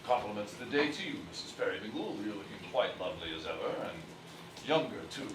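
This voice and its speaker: A man's voice: fairly low pitch, sing-song, fast talker, noisy background. Man playing a pompous villainous old merchant on an echoey stage. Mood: smugly courteous. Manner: pompous, blustering, wordy. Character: cantankerous older toy merchant villain, child-hating, smugly blunt. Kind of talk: theatrical musical stage acting. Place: theatre stage. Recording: echoey distant stage recording with music.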